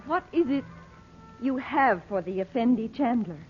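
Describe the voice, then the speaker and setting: A woman's voice: fairly high pitch, animated, moderate pace, faint music behind, natural voice. An actress playing a genteel, anxious mother in a 1940s radio drama, polite and a little hesitant. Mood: anxious, probing. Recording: muffled, faintly noisy old radio transcription.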